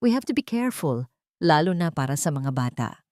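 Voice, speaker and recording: A woman's voice: deep voice, sing-song, normal speed, a little mellow, no echo, studio quality. A woman with a Filipino accent voicing a scheming younger woman in an audio story, pointed and insinuating under feigned sweet concern.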